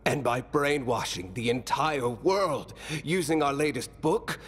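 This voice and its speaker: A man playing a weary, remorseful fallen mastermind in a video game, speaking gravely and haltingly with breathy trailing phrases. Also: medium pitch, slight background noise. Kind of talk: dramatic video game monologue.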